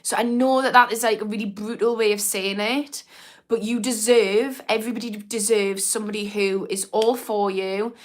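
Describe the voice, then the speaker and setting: Frank chatty woman with a Newcastle accent. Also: mid-range voice. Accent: mild northern English (Newcastle). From Newcastle, England. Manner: frank, chatty, lots of "like" fillers. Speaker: mindset livestream host and former therapist. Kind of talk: casual livestream chat reading comments.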